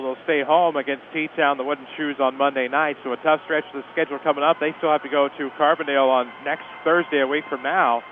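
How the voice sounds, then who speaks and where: A man working as a play-by-play announcer, giving live pregame commentary on local radio from a school arena over a phone-like line. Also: fairly high pitch. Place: indoor school sports arena. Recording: phone-like line, steady venue noise.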